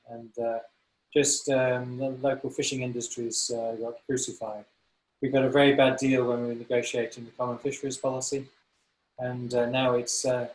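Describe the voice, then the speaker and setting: A man in his sixties with an English accent, a business consultant answering interview questions in a thoughtful, halting way with many fillers and restarts. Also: medium pitch, roomy sound, poor audio. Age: 60s.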